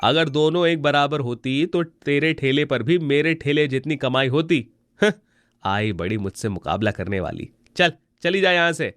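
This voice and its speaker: A man's voice: fairly high pitch, normal speed, a bit bright, no echo, faint music behind, natural voice. A man playing a scornful, sexist street-food vendor who talks in a mocking, boastful way in a moral-story dialogue.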